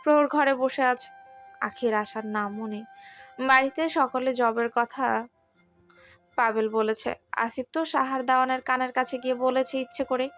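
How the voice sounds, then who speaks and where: A woman's voice: sits high, steady tone, moderate pace, pauses often, a bit bright, soft background music. A woman narrating a scripted romantic story.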